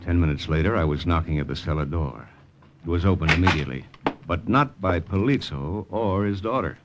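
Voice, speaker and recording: Low-voiced wry secret agent. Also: male voice, a little fast, dull audio, very noisy. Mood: grim, clipped. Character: tough, wry American secret agent man. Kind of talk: old-time radio drama, first-person narration and dialogue.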